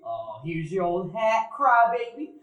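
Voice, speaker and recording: A woman's voice: mid-range voice, big pitch swings, a little slow, muffled recording. A woman playing a bigger boy bully in a live radio play, sneering and taunting. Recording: echoey live theater hall.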